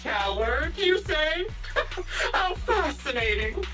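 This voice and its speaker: A woman's voice: sits high, very animated, slow pace, pushed and bright, far from the mic, soft background music, heavily acted. A woman voicing a sadistic sniper villain in a video game, mock-polite, teasing and theatrical. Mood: cruel amusement.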